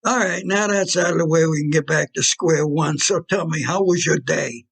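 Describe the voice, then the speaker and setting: A man in his seventies playing a casually menacing hitman boyfriend in an audio play, with a creaky older voice. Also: fairly high pitch, moderate pace, very noisy. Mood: casual, coaxing. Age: 70s. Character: cold-blooded hitman boyfriend, casually menacing, smooth-talking.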